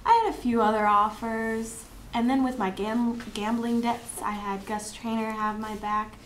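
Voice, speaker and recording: A woman's voice: a little high, flat delivery, measured pace, lightly acted. A woman playing a vain, money-hungry socialite fallen on hard times, in an amateur dramatic monologue. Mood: brisk, self-pitying. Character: vain, ruined socialite woman craving money, world-weary. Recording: echoey room, faint background noise.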